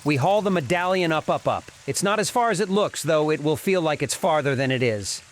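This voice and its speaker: Man playing a higher-voiced easygoing gnome fellow. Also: expressive, fairly quick, slight background noise, lightly acted. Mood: resigned, steady. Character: easygoing, stoic male gnome wagon driver. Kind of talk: dramatized fantasy audio story acting.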